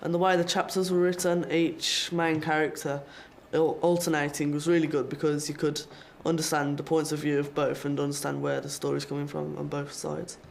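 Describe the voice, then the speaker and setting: A man's voice: fairly high pitch, level tone, normal speed, creaky, slight background noise. A teenage boy, a school pupil, giving an opinion in an interview. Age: teens.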